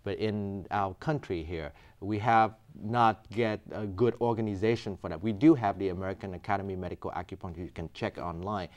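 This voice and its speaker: Low-voiced man from Vietnam, explaining earnestly. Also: fairly even intonation, brisk, hardly any pauses. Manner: earnest, explaining step by step, non-native grammar. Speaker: physician guest. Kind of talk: explanatory interview answers.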